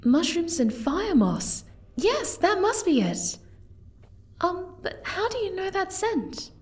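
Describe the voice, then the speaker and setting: A woman voicing a gentle, timid, caring young moth girl in an ASMR roleplay, soft-spoken and hesitant. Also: extremely high pitch, sing-song, slow, exaggerated voice. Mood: warm, curious.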